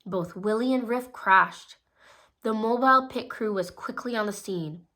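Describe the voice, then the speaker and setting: A woman reading a children's storybook aloud in a gentle, steady storytelling manner, with small slips now and then. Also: mid-range voice, unhurried. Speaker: storybook reader. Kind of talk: children's read-aloud narration.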